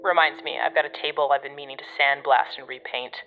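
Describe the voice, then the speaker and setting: An actress playing a gruff, exasperated but protective woman guiding a colleague over the radio in an audio drama, bossy and sardonic. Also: very deep voice, fairly even intonation, fast talker, phone-quality sound, slight background noise. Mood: wry, instructing.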